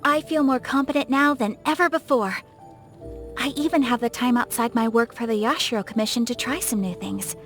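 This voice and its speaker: A woman's voice: fairly high pitch, brisk, fairly bright tone, faint music behind. A woman voicing a refined, reserved young noblewoman of a samurai clan in a video game, speaking formally and politely with poise. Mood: warm, gracious. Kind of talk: scripted game character voice lines.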